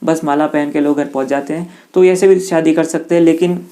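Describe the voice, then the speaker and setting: A man's voice: a little high, steady tone, warm tone. A man with an Indian accent giving an informal explainer lesson, speaking conversationally to listeners and sometimes reading passages aloud. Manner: conversational, addresses listeners directly, reads English passages haltingly. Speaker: exam-prep teacher explaining law.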